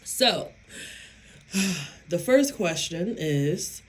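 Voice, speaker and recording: A woman vlogger and psychic medium talking casually to her viewers, with a hoarse, raspy voice and an emphatic, self-assured way of speaking. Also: fairly low pitch, expressive. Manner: slow, emphatic, self-affirming, laughs often. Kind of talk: casual talk to camera.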